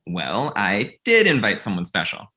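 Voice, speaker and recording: A man's voice: fairly low pitch, wildly swooping pitch, normal speed, sharp tone, exaggerated voice. A man playing a naive, earnest, slightly whiny son in his thirties who adores Christmas, in a comedy table read. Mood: hopeful. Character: naive thirty-six-year-old son, childishly devoted to Christmas and Santa.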